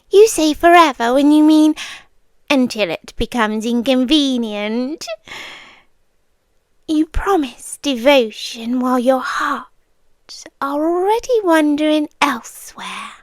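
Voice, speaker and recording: Woman acting slow, high-voiced possessive British vampire countess. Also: animated, no room sound. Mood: intense, solemn. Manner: slow, intimate, theatrical, drifting into whispers. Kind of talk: yandere girlfriend ASMR roleplay, often whispered.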